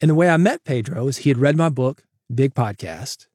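A man's voice: medium pitch, animated, a little fast, darkish tone, husky, no echo, clean studio sound. A man hosting his own solo podcast, talking casually and coaching listeners, often calling them "man". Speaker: podcast host.

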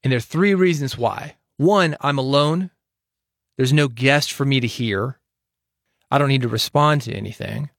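A man's voice: medium pitch, normal speed, creaky, fairly bright tone, no room sound. A man hosting his own podcast alone, giving direct, confident advice into a studio microphone. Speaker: podcast host. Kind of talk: solo podcast talk.

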